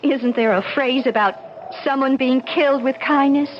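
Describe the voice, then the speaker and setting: A woman's voice: sits high, fairly even intonation, normal speed, muffled recording, lightly acted. An actress playing a cool young woman who speaks in icy, pointed jabs in a 1970s radio drama on a hissy old broadcast. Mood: cold.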